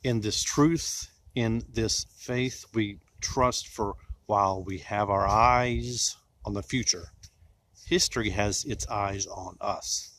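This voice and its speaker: A man reciting poetry in a solemn style, with deliberate phrasing and dramatic pauses. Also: lowish voice, a bit bright.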